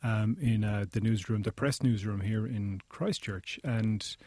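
An Irish man being interviewed in a studio, explaining things in a measured way. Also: fairly low pitch, dark tone, no echo. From Ireland. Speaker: interview guest, journalist. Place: radio studio.

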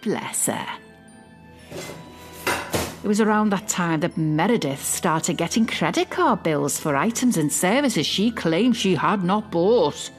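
Low-voiced, smugly possessive mother. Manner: confiding, smug, mock-innocent. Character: possessive middle-aged mother, sly, smugly self-justifying, quietly malicious. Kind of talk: dramatic monologue, confiding fiction podcast narration.

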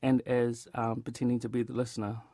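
Man, New Zealand accent, medium pitch, casual interview chat, informal storytelling, very dry recording. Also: completely flat delivery, fairly quick, dark tone, dry recording. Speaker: theatre director and actor being interviewed.

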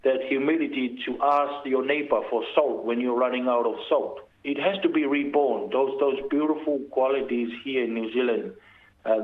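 A man giving an earnest interview in a halting, reflective way. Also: mid-range voice. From Pacific Islands. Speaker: interviewee, community service worker. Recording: thin phone-line sound.

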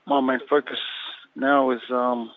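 A man's voice: medium pitch, little pitch movement. Man from Samoa on a tinny phone line. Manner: halting, leans heavily on "you know" fillers. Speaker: boxer being interviewed. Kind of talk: phone interview.

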